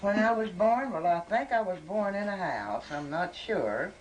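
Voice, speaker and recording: A woman's voice: medium pitch, expressive, moderate pace, dull audio. A woman in her seventies chatting casually with family in a folksy, joking, teasing way. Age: 70s. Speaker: grandmother being filmed at home. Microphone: camcorder microphone across the room. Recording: noisy amateur home video.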